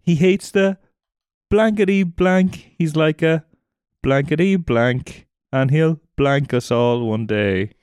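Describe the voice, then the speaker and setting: A man's voice: a little high, slow, a little mellow, clean tone, dry recording, clean studio sound. A man hosting a podcast, chatting casually and teasing playfully with some swearing as he runs a word game. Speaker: podcast host. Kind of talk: casual banter over a word guessing game.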